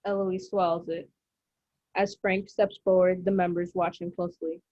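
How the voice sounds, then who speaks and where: A woman narrates a drama script, reading out the stage directions. Her voice sits fairly low, and the recording is very dry with a dull, lo-fi sound. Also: flat delivery, moderate pace, warm tone, dry recording. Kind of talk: scripted narration at a table read. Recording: dry, muffled lo-fi audio.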